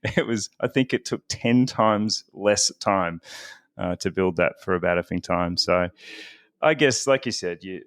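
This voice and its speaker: A man's voice: sits low, a little slow, a bit bright, dry recording, studio quality. A man, a podcast guest talking casually and laughing often at himself. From Australia. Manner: chatty, self-deprecating, laughs often.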